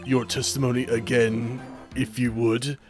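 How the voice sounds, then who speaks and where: Stern old male judge voiced by a man, courtroom video game, formal and measured delivery, mid-pitched, music underneath. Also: level tone, close to an everyday voice. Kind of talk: amateur video game dub.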